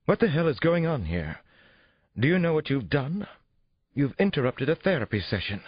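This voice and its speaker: A man voicing an indignant, imperious woman therapist who snaps out sharp demands in an audiobook thriller. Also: lowish voice, moderate pace, dry recording, dull audio, pitched above the performer's own voice, lightly acted. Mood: angry, demanding. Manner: sharp, demanding, shouting orders. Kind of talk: audiobook character dialogue.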